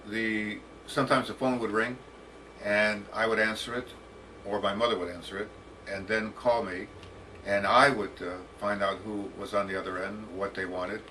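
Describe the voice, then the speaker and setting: American male academic, about 60. Bright, forceful voice, deliberate storytelling, recorded at some distance with faint noise. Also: on the low side. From United States, Detroit. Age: around 60. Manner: deliberate, halting, formal phrasing. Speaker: professor, interview guest. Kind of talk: autobiographical storytelling.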